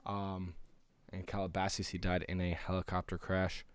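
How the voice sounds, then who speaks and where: An American man co-hosting a podcast, chatting casually and haltingly, often repeating himself. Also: low voice, completely flat delivery, smooth, no echo. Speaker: podcast co-host. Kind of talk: casual podcast chat about news and games.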